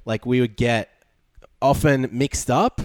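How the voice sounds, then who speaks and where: A man's voice: mid-range voice, slow pace, no room sound, clean studio sound. An Australian man casually recapping a show from home, laid-back and sarcastic with lots of ums. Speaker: podcast co-host.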